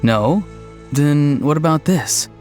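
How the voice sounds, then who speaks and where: Earnest, easygoing young tinkerer. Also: male voice, a little high, lively intonation, slow pace, over music. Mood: musing. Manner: musing, matter-of-fact. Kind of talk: video game voice acting.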